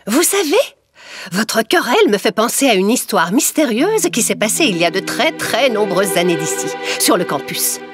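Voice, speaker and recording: A woman's voice: on the high side, very animated, normal speed. A woman voicing a theatrical, bossy schoolteacher in a French cartoon, with dramatic, haughty, articulate delivery. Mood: ominous storytelling. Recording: music behind the voice.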